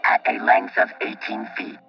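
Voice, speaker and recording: An actor playing a literal, unflappable robot protector in a radio play, formal and clinical, through a robotic filter. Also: male voice, moderate pace, highs cut off, soft background music. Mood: deadpan, analytical.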